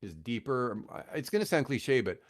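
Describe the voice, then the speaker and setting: A middle-aged or older man in casual conversation as a podcast guest, reflective and rambling, often pausing mid-thought. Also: mid-range voice, sing-song. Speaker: podcast guest, radio host. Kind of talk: casual chat.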